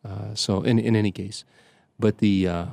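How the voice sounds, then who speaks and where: Soft-spoken older priest rambling through memories. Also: male voice, on the low side, fairly even intonation, creaky, dry recording. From Chicago area. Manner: rambling, halting, many restarts and fillers. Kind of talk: conversational storytelling.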